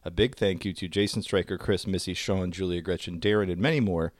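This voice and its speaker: A man's voice: low-pitched, fairly even intonation, fairly quick, no room sound, clean studio sound. A man co-hosting a casual comedy podcast, telling stories in a wry, wordy way with wisecracks. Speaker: podcast co-host.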